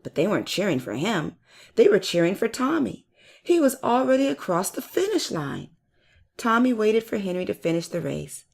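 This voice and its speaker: Creaky-voiced woman reading to children. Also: mid-range voice, sing-song, moderate pace, darkish tone. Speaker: storyteller and channel host. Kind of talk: children's read-aloud narration.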